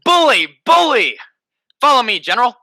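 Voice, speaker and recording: Bombastic crackpot president, acted by a young man, amateur radio play; sharp, ringing tone, very dry recording. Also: extremely high pitch, big pitch swings, normal speed, gravelly, no echo, full character voice. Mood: exuberant. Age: young adult. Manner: bombastic, pompous. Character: blustering deluded man who thinks himself President Roosevelt.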